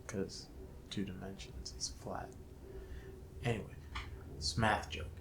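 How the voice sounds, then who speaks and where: A man co-hosting a casual review discussion, thinking aloud in a halting way. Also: low-pitched, little pitch movement, creaky, background noise. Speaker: show co-host. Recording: roomy, echoing sound.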